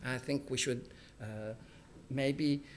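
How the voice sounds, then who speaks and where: A middle-aged man, an academic, speaking freely to an audience in a small room, animated and emphatic, with some ums. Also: mid-range voice, slow, a little hiss or hum. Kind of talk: public talk, speaking freely. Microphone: stand mic, filmed from the audience.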